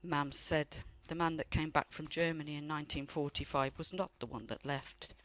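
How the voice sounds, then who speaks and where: A woman's voice: low voice, steady tone, normal speed, fairly bright tone, dry recording. An actress in a radio play playing a loving, wistful elderly grandmother who tells family memories in a reflective way. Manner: reflective storytelling.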